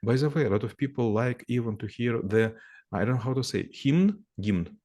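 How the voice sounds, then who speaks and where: A man's voice: medium pitch, lively intonation, moderate pace, dark tone. A middle-aged man, answering interview questions in English. He often halts to search for words, restarting phrases and filling gaps with ums. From former Soviet Union. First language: Russian. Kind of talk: casual conversation in a second language.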